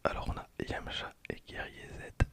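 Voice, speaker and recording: A person in their twenties whispering casual ASMR commentary close to the microphone, with a breathy, unvoiced delivery. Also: moderate pace. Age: 20s. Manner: hushed, casual asides. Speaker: ASMR video creator. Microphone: close handheld-recorder microphone.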